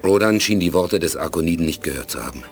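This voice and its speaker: A man's voice: sits low, expressive, fast talker, creaky, slight background noise. A man narrating a 1980s German science fiction radio play in a scripted storytelling style.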